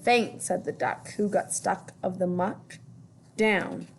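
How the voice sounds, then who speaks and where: A woman's voice: lowish voice, slow, vocal fry, slight background noise. A woman teacher reading a children's picture book aloud, with singsong emphasis on the rhymes.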